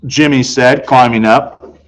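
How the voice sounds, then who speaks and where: A man's voice: mid-range voice, fairly even intonation, measured pace, a crowd in the background, poor audio. Playful man reading a children's story. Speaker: storybook reader. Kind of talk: expressive read-aloud narration of a children's novel in a boy's first-person voice.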